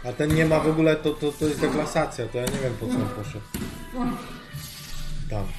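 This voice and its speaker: A man's voice: on the high side, normal speed. A man in his thirties giving casual, blunt commentary on a live stream, musing aloud and swearing freely. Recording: stream audio with music underneath. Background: music.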